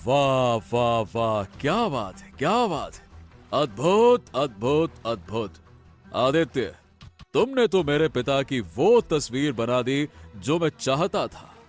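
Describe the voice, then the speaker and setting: A man playing an earnest young prince in a cartoon story, speaking theatrically with drawn-out pauses and emphatic repetition. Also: mid-range voice, sing-song, faint music behind. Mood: awed delight. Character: young prince, earnest, brooding, grandly expressive. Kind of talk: Hindi animated moral story dialogue.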